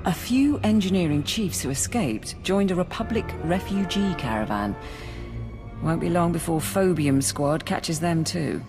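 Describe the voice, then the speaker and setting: A woman playing a brisk, dry-witted Imperial military aide in a video game, businesslike and wry. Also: fairly low pitch, lively intonation. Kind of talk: video game briefing dialogue. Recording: game music behind the voice.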